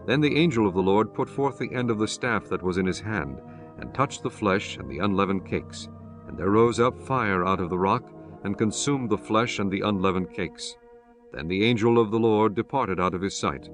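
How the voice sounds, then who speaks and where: A man narrating dramatized scripture as an audiobook, in a solemn, measured storytelling manner. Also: lowish voice, faint music behind.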